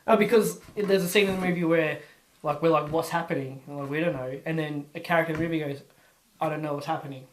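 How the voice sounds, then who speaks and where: A man's voice: a little high, fairly even intonation, fast talker, crowd noise, low-quality recording. Blunt, sweary Australian man. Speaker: podcast host reviewing films. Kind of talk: casual chat.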